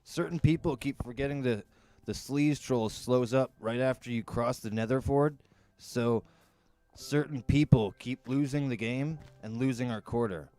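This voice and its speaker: A man's voice: mid-range voice, a little slow, darkish tone, faint music behind, pitched above the performer's own voice, close to an everyday voice. A man voicing casual, sardonic teenage boys in a storybook reading.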